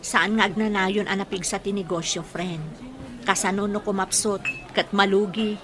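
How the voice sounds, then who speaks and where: A woman's voice: medium pitch, fairly even intonation, moderate pace, a crowd in the background, natural voice. An actress playing a worried but determined wife and mother in an Ilocano radio drama, with an Ilocano accent. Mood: worried, insistent.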